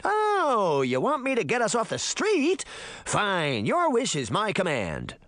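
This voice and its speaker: A man voicing a cocky, quick-tempered fairy boy villain in an audiobook, defiant and sarcastic, prone to shouting and growling. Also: medium pitch, big pitch swings, no room sound, studio quality.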